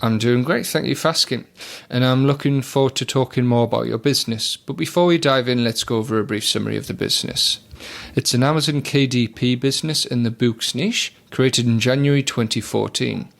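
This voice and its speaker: A man's voice: mid-range voice, fairly quick, gravelly, fairly bright tone. A man hosting a business podcast interview, upbeat and reading out listing details. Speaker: podcast host.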